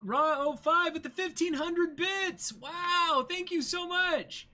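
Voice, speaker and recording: A man in his twenties co-hosting a casual livestream, chatting and reading out viewer comments in an animated way. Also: extremely high pitch, takes it slowly. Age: 20s. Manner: animated, exclaiming thanks to viewers. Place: small indoor room with a backdrop.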